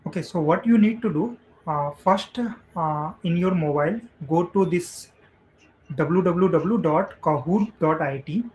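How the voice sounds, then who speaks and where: A man's voice: a little high, measured pace, a little mellow, dull audio. Man hosting over noisy meeting audio. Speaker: meetup host. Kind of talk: casual spoken instructions to online attendees. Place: online video meeting.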